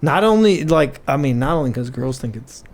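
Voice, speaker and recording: A man's voice: mid-range voice, lively intonation, normal speed, gravelly. A young man from Scotland who now talks with an American accent, co-hosting a casual podcast over beer, rambling, swearing and tripping over his words. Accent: American, with a faint Scottish trace. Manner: rambling, swears a lot, stumbles and repeats words. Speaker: podcast co-host.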